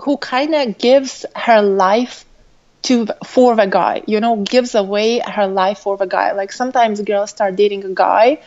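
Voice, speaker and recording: A woman with a Lithuanian accent touched by Irish and British speech gives conversational answers as a coach. She takes her time, at a slow pace. The sound is dull and lo-fi, like a remote call. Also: medium pitch, darkish tone. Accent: mixed Lithuanian, with Irish and British influence. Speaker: guest, relationship coach.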